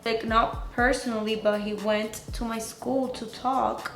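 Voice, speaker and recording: A twenty-year-old woman with a Latin American Spanish accent, a native Spanish speaker, chatting excitedly and slangily to camera in a casual beauty vlog. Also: fairly high pitch, unhurried, soft background music. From Venezuela, grew up in the Dominican Republic. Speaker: beauty YouTuber. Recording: roomy echo.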